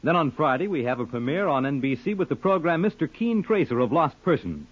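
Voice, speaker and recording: A man's voice: a little high, very animated, fairly quick, breathy edge. A man announcing on 1950s network radio, reading scripted promos in a formal, dramatic manner. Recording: dull old-time radio recording.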